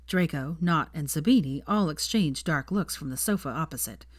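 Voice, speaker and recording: A woman's voice: sits low, animated, moderate pace, no echo. A woman reading a story aloud as scripted audiobook narration. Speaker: fan fiction audiobook narrator.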